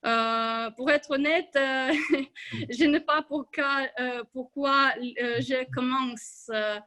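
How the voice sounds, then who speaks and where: Hesitant high-voiced woman. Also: slow, sharp tone. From Ukraine. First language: Russian and Ukrainian. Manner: hesitant, many filler sounds, restarts words. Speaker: club member giving a speech. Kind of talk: hesitant prepared speech.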